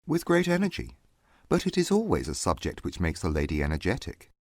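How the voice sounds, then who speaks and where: An actor playing a proud, aloof young gentleman in a dramatized audiobook, with clipped, formal, haughty delivery. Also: deep voice, wildly swooping pitch, brisk, no echo, clean studio sound, heavily acted. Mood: cool disdain.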